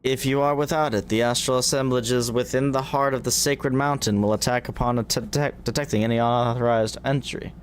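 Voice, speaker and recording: A man's voice: mid-range voice, steady tone, brisk, hardly any pauses, natural voice. Casting: man doing haughty dragon sage for fantasy game, archaic, formal, mid-range, slightly noisy recording. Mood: haughty, scornful. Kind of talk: reading game dialogue aloud in character.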